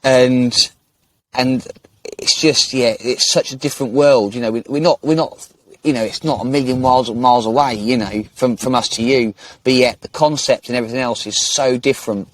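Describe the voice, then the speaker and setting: A man talking easily with a podcast guest, circling back and restarting his sentences as he goes. His voice sits at a middle pitch, and the recording is dry and close, with no room sound. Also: steady tone, normal speed, dry recording. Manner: rambling, restarts often, friendly. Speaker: podcast host. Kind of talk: casual conversational interview.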